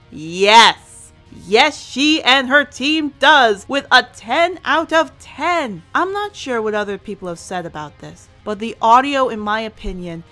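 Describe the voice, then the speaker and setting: A woman's voice: a little high, fairly bright tone. A woman hosting a review in semi-scripted commentary, speaking in an enthusiastic, emphatic way. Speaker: host and reviewer.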